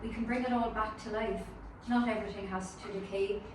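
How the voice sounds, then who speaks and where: A woman playing a steady, hopeful rescuer in a screenplay table read, calm and earnest. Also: mid-range voice, a little fast, lightly acted. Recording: distant, echoey room with clear noise.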